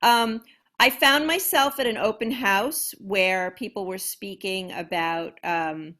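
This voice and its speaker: A woman author on a video call with a loud voice, telling her story in an animated, self-deprecating way. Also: mid-range voice, slow. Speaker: panel guest author. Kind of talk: casual panel chat.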